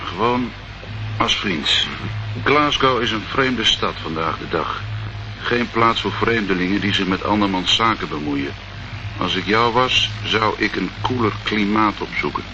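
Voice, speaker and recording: An actor playing a suave, menacing crime boss in a radio play, speaking with polite veiled threats. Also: male voice, fairly low pitch, measured pace, close to an everyday voice. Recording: muffled, noisy old radio recording.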